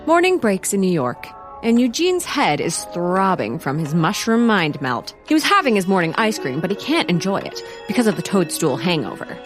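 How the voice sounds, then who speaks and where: A woman's voice: lowish voice, big pitch swings, normal speed, faint music behind. A woman playing a wry storyteller, narrating a comic audio drama in mock-documentary style.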